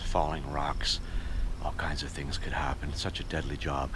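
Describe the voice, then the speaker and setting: A man's voice: rumbling low, noisy background. A man narrating a casual travel vlog as a touring musician.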